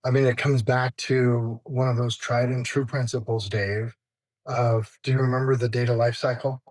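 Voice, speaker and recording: A man's voice: medium pitch, level tone, moderate pace, darkish tone, no echo. A man speaking as an expert interview guest, explaining informally and listing points in a conversational way.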